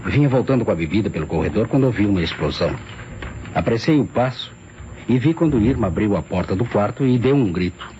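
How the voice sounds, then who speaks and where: An actor playing a defensive lawyer who explains himself formally, with a Brazilian accent, in old radio drama style. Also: male voice, lowish voice, expressive, quick pace, dark tone, close to an everyday voice. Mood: explanatory. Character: defensive male lawyer, the wife's former fiancé. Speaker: actor in a radio mystery serial. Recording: muffled, noisy old radio recording.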